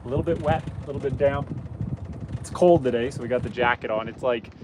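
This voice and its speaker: A man with a hoarse, fading voice, chatting easily with livestream viewers while he fishes from a boat on a rainy lake. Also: mid-range voice, quick pace. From Canada. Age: adult, father of young kids. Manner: easygoing, chatty, greets viewers by name. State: hoarse, losing his voice. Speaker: outdoors channel host. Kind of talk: casual livestream chat while fishing. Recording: windy outdoor stream with boat noise. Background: wind and boat motor.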